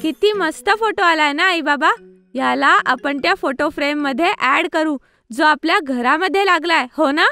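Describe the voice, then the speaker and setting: A high, eager little girl from a children's cartoon, cheerful and sensible like a caring sister. She speaks with music playing behind her. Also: normal speed, pushed and bright, no room sound. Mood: excited, pleased. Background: background music.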